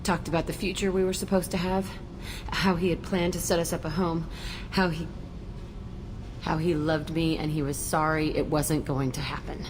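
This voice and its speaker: A woman's voice: sits low, flat delivery, normal speed, close to an everyday voice. An actress playing a tough, guarded young female outlaw in a video game, blunt, bitter and defensive. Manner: blunt, foul-mouthed, defensive. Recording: loud background noise, game ambience.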